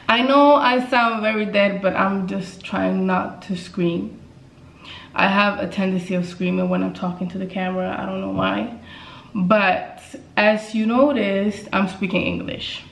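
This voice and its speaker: A woman's voice: medium pitch, fairly even intonation, measured pace, vocal fry. Chatty woman, echoey room. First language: Swedish. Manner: chatty, repeats phrases for emphasis, laughs often. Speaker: YouTuber. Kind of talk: casual vlog talk to camera. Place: indoors, bright apartment living room. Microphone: camera mic at desk distance.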